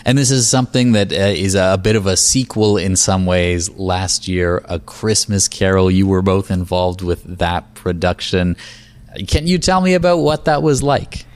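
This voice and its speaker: A man's voice: low-pitched, moderate pace. A man hosting and interviewing for a radio programme. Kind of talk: interview with scripted introduction.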